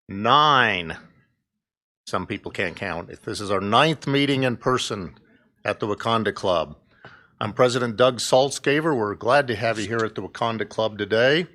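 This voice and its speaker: A man's voice: mid-range voice, fairly bright tone. A man, the club president, welcoming members at the start of a meeting and speaking into a podium microphone. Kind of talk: opening remarks at a club meeting.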